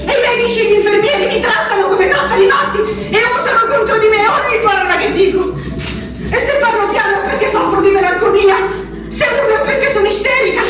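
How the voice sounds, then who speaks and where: An actress playing a desperate, furious asylum inmate in a theatrical radio drama monologue, intense, accusing and pleading. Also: female voice, very high voice, brisk. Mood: anguished, accusing. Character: desperate, furious woman locked in an asylum, writing letters. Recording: very echoey, distant, music behind.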